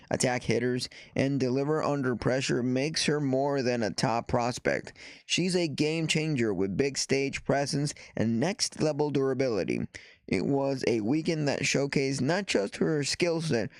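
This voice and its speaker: Stuffy-nosed man, halting sports chat. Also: medium pitch, dry recording. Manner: halting, with frequent fillers and restarts. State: stuffy nose after the flu. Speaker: softball journalist hosting a show. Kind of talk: casual commentary and reading news aloud.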